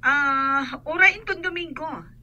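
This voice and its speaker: An actress plays a woman friend taking a call in an Ilocano radio drama. Her voice is high and extremely bright, and she talks slowly. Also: highs cut off, exaggerated voice. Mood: casual, agreeable. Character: unhurried woman friend living away, answering a call.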